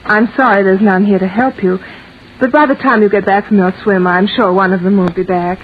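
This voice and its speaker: A woman's voice: medium pitch, fairly even intonation, fairly quick, close to an everyday voice. A woman playing a cool, dryly sardonic lady wife, composed and icily polite, in old-time radio style. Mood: politely frosty. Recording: tinny, noisy old radio recording.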